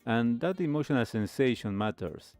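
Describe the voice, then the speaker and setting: A man's voice: medium pitch, expressive, normal speed, darkish tone, no room sound, soft background music. A man hosting a talk show, giving reflective commentary. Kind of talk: reflective commentary on lifestyle videos.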